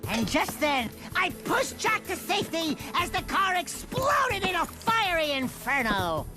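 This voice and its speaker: A cocky little furry sidekick, high-pitched and slow to stretch out his words. He is a boastful video game wisecracker who swings about lively, with music behind him. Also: full character voice. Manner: boastful, exclaiming. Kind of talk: video game cartoon acting.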